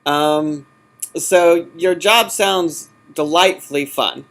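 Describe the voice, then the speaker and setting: A man answering as a job candidate in an informal interview, halting and often correcting himself. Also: on the high side, vocal fry, a bit bright. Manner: halting, hesitant, self-correcting. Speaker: job candidate in user experience design.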